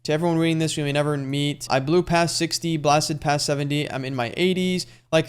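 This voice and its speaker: Young male online coach, quick and smooth, slightly high voice, casual salesy tutorial patter. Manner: fast, casual, salesy talk with frequent "like". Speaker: YouTube growth coach. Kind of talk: screen-share tutorial.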